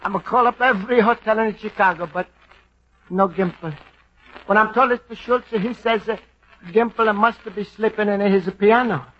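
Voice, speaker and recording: A man's voice: high voice, moderate pace. An actor playing a homesick, earnest Italian immigrant man in a radio comedy, speaking broken English with an Italian accent and frequent exclamations. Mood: wistful. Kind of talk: 1940s radio acting. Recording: dull old radio broadcast with faint hiss.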